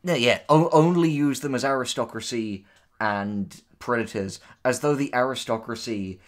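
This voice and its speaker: A man's voice: medium pitch, normal speed. A man co-hosting casual commentary, reading posts aloud and responding with sardonic, dismissive, sweary remarks. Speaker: co-host and writer reacting to writing tips.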